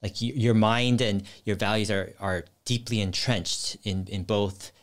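A male podcast host with a fairly low voice. He speaks in a measured, thoughtful way and keeps up short listening sounds while his guest talks. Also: steady tone. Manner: measured, gives frequent short backchannels. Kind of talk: interviewing.

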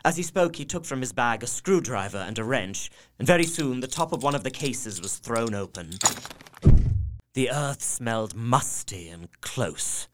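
A man's voice: medium pitch, lively intonation, normal speed, a bit bright, a little hiss or hum. A man narrating a gothic drama in the first person, earnest and heartfelt. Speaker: narrator and young husband character.

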